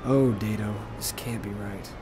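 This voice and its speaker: A man playing a gentle, soft-hearted, doubtful Santa in an audio storybook. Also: lowish voice, fairly even intonation, unhurried, noisy background, close to an everyday voice. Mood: troubled. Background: music.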